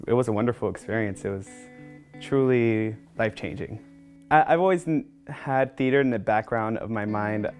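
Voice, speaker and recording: A young man, a former intern, talking casually and reflectively in a documentary interview. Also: mid-range voice. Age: young adult. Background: soft guitar music.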